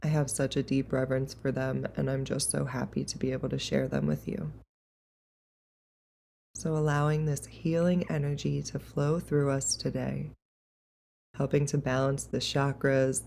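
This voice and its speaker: Low, mellow woman guiding a calm meditation. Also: vocal fry, a little hiss or hum. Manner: calm, gentle, unhurried. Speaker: guided meditation teacher. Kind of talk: soothing yoga nidra guided meditation.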